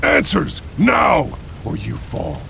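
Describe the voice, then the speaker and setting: An actor playing a grim, relentless masked vigilante in a video game, growling terse threats in a gravelly voice. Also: male voice, a little high, wildly swooping pitch, takes it slowly, exaggerated voice. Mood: threatening. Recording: very loud action noise over the voice.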